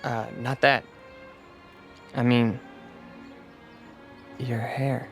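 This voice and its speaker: An actor playing a gentle, smitten young man in a radio drama, speaking tenderly and hesitantly. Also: mid-range voice, level tone, extremely slow, creaky, soft background music. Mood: shy admiration.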